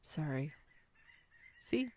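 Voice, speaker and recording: A woman's voice: very slow, a little mellow. A woman playing a clumsy, sweetly self-deprecating young woman on a date, in an intimate, earnest romantic audio roleplay. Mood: tender, sheepish.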